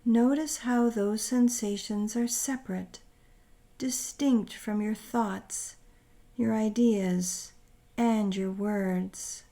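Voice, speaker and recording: A woman narrating a scripted guided meditation in a soothing, gentle, deliberate manner with pauses. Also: medium pitch, fairly even intonation.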